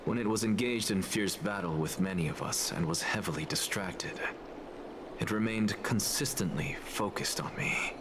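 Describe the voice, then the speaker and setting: An actor voicing an aloof, self-assured scholar in a fantasy video game, lecturing in a measured, precise way. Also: male voice, on the low side, noisy background, close to an everyday voice. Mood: cool, analytical.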